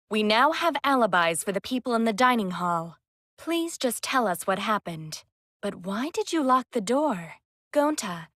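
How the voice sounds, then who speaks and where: A woman's voice: a little high, fairly bright tone, dry recording, studio quality. A woman playing a polite, methodical young female investigator in a video game, speaking formally and precisely. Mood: gently firm. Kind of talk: video game dialogue acting.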